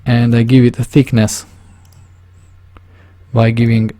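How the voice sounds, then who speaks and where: A man from Hungary with a Hungarian accent, narrating a drawing tutorial in a casual, halting way, searching for words and correcting himself. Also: mid-range voice, flat delivery, mellow.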